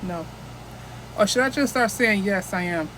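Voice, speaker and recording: A woman's voice: mid-range voice, moderate pace, fairly bright tone, slight background noise, low-quality recording. A woman from the United States chatting casually into her phone camera as a vlogger, rambling and restarting often.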